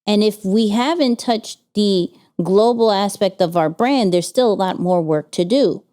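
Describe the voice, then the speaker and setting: A woman's voice: mid-range voice, unhurried, mellow, clean tone. A woman co-hosting a casual business podcast, talking energetically and piling up rhetorical questions. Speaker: podcast co-host.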